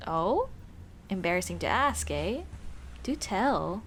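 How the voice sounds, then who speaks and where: A woman playing a young tomboy childhood friend in an ASMR roleplay, speaking in casual boyish slang with hesitant pauses. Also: medium pitch, big pitch swings, fairly bright tone, a little hiss or hum, heavily acted. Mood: playful, teasing. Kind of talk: ASMR roleplay, intimate one-sided dialogue.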